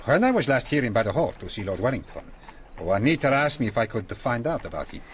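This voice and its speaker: Man acting gentle young priest, formal n grave, varied intonation, radio drama with slight hiss. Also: mid-range voice, sing-song, fast talker, natural voice. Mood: courteous. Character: gentle, reserved young Spanish priest bearing news.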